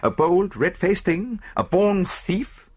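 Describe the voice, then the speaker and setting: A man voicing an excitable young lady's maid, speaking rapidly and pleadingly. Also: high voice, husky, voice pushed up, heavily acted. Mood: virulent.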